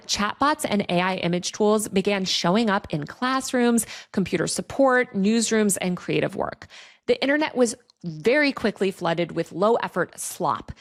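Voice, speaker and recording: A woman with an American accent presenting a scripted tech culture video essay as a journalist, articulate, with casual conversational asides. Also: medium pitch.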